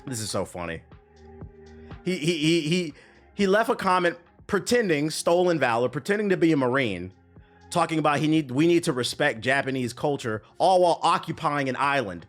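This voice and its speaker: Animated joking male streamer. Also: on the high side, moderate pace. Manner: loose, joking, animated, trailing into mutters. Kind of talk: livestream reaction commentary. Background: faint background music.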